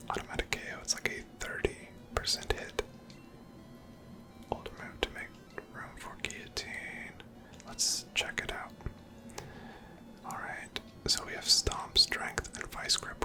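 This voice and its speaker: A person whispering unhurried ASMR commentary over gameplay in a breathy, unvoiced voice, musing aloud. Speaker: ASMR game streamer.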